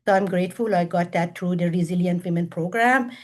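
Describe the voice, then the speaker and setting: A woman's voice: fairly low pitch, a little fast, a little mellow, a little hiss or hum. A middle-aged woman from Iran with an Iranian accent, speaking earnestly and formally as a panelist on a video call. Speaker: panelist, women's empowerment coach. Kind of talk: prepared self-introduction at a virtual panel. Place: virtual summit video call.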